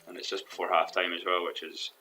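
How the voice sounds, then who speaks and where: A young man commentating his own football management game in a rambling, hesitant way, his voice a little hoarse from losing it. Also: low-pitched, monotone, quick pace, bright, forceful tone, a little hiss or hum, rough recording. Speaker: gaming commentator. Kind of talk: let's play commentary. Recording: faint background music.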